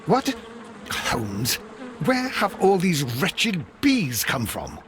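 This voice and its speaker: An actor playing a courteous, easily exasperated Victorian English doctor and sidekick in a video game, with a proper, gently sardonic manner. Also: male voice, high voice, huge pitch swings, very slow, background noise, heavily acted. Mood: alarmed, indignant.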